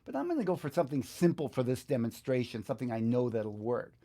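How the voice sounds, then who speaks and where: A middle-aged man talks through a screen tutorial step by step. He sounds like an instructor chatting informally, thinking aloud as he goes. His voice sits at an average pitch for a man. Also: big pitch swings, fast, mellow. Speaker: guitar instructor, video host.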